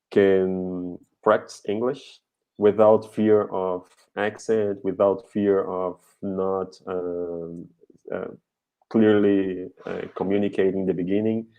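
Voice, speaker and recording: A man with a Brazilian accent speaking English slowly on a video call, searching for his words as he hosts a conversation meeting. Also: sits low, flat delivery, mellow. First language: Portuguese. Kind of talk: live online conversation.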